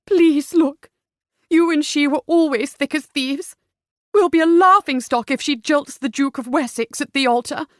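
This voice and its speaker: Fretful society mother played by a woman narrator, middle-aged, high-pitched, melodramatic, pleading, fluttery, historical romance audiobook. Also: fairly even intonation, measured pace, hardly any pauses, no room sound, studio quality. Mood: begging.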